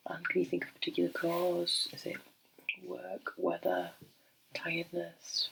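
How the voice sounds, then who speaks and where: A middle-aged woman speaking softly in an ASMR doctor role play, breathy and often slipping into a whisper, gentle and unhurried, close to the microphone. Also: on the low side, monotone, slight background noise, low-quality recording. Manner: gentle, unhurried, hesitant pauses. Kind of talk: soft-spoken ASMR medical role play.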